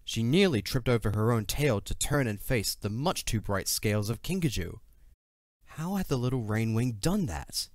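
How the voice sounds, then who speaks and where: A man's voice: medium pitch, sing-song, normal speed, no room sound, clean studio sound. A man narrating an audio drama from a script as the storyteller. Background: soft music.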